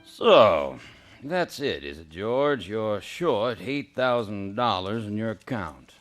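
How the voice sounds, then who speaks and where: A sneering old banker villain. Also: male voice, fairly low pitch, big pitch swings, a bit bright, soft background music, full character voice. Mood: contemptuous. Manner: sneering, slow and cutting. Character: cold, scornful old male banker villain. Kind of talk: radio play acting.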